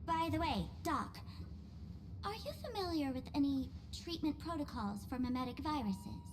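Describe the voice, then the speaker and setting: A woman's voice: high voice, lively intonation, normal speed, background noise. A woman voicing a haughty, mischievous young female trickster in a video game, sly, mocking and theatrical in her delivery. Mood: sly, curious. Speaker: game character voice actor. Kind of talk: video game character acting. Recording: background music under the voice.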